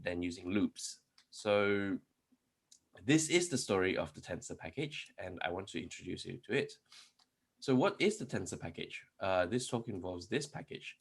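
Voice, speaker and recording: A man's voice: deep voice, slightly rough. A man giving a conference talk on programming, explaining step by step with many restarts and rhetorical questions while typing code. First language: not Polish. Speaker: conference speaker. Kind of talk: live-coding tech talk.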